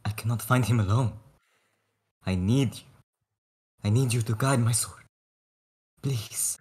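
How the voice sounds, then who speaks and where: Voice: mid-range voice, a little slow, a little mellow, husky. A performer voicing a vengeful, grief-stricken swordsman, theatrical and earnest, in a table read. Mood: solemn pleading. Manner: theatrical, pleading, solemn.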